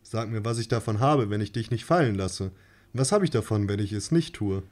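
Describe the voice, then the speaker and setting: A man voicing August, an odd, nervous young man who stalls and speaks flatly, in a radio play. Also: lowish voice, fairly quick, natural voice. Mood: deadpan. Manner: hesitant and stalling, flat deadpan. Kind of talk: amateur radio-play reading.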